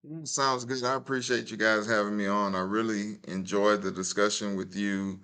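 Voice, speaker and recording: A man in his fifties speaking as a podcast guest, with a lowish but bright, forceful voice. He sets out his views in a measured, deliberate way. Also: flat delivery, clear, resonant tone. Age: 50s. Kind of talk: interview answers.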